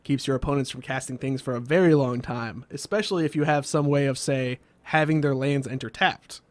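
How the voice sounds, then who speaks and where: A man's voice: mid-range voice, brisk, no room sound. A man hosting a scripted comedic explainer with a wry, sardonic delivery, recorded as a close voice-over. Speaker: host of a card game video series.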